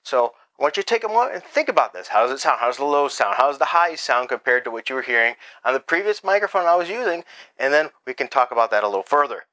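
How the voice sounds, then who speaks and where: A man at an everyday mid pitch talks through a hands-on gadget review in a relaxed, chatty way. He often stops mid-word and restarts, correcting himself as he goes. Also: fast. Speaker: host reviewing a product. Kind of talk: casual product review.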